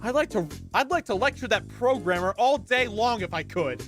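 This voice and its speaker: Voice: medium pitch, animated, moderate pace, a bit bright, close to an everyday voice. A streamer voicing a panicky, indignant male game protagonist who is being bullied, reading the lines over music. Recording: music behind the voice.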